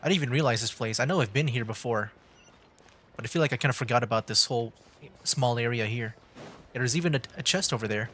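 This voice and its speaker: A man's voice: mid-range voice, fast talker, fairly bright tone, a little hiss or hum. A man doing casual, rambling livestream commentary on a game, with an amused manner. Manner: rambling, amused, given to chuckling. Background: faint game music.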